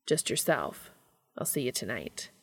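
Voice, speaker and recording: A woman voicing an earnest, courteous, quietly flirty man in a storybook reading, with formal, measured wording. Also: a bass voice, sing-song, breathy edge, no echo, voice pushed down, exaggerated voice.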